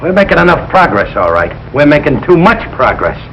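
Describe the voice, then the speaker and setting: An actor playing a blustering, good-hearted Brooklyn working-class husband, blunt and slangy, in old broadcast sitcom style. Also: male voice, on the high side, very animated, a little fast, a bit bright, highs cut off. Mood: angry, blustering. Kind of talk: 1940s-50s broadcast sitcom acting. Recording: noisy old broadcast recording.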